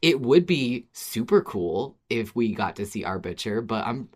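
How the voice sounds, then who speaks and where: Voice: medium pitch, sing-song, measured pace, a little mellow, husky. A podcast co-host in casual fan discussion, talking in a wry, informal way.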